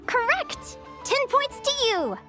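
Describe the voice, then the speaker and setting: A woman's voice: very high voice, wildly swooping pitch, slow, music in the background, heavily acted. A woman voicing a cheerful young adventuring advisor in an anime game, bubbly, encouraging and teacherly. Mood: bright, encouraging.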